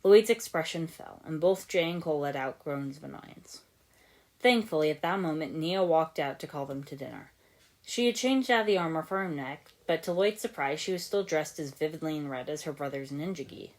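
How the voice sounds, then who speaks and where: A woman's voice: sits low, animated, fairly quick. A woman reading fan fiction aloud as a scripted audiobook narration. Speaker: podfic narrator.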